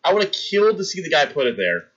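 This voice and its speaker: A man in his thirties streaming live, telling a long gross-out story with animated delivery and acting out the scenes for his chat. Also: a little high, quick pace, lo-fi sound.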